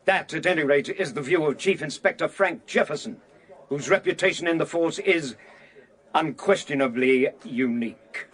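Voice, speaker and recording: A man's voice: a little high, normal speed, fairly bright tone. An actor playing an unruffled, cheeky male crime correspondent giving radio reports in a drama. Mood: reporting.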